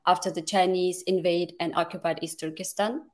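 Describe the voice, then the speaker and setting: Halting woman from Kazakhstan. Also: lowish voice, fairly even intonation. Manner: halting, many fillers and restarts. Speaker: guest advocate. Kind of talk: interview answers.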